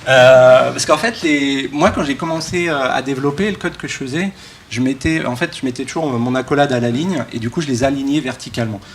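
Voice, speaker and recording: A man speaks informally as a conference keynote speaker, joking and halting with many false starts. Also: mid-range voice. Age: early 40s. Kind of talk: casual, joking conference talk. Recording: live talk with background room noise.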